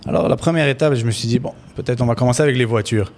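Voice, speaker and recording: A young man from Canada, a real estate broker chatting about his path as a radio guest, relaxed and laughing easily. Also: medium pitch, very fast. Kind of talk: casual radio interview.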